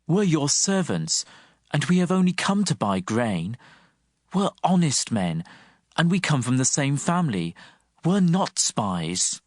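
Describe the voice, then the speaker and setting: A man's voice: on the high side, sing-song, a little slow, dry recording, studio quality. A man playing a humble, honest brother from ancient Canaan in an audio Bible drama, respectful and earnest. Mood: earnest, defensive. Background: music.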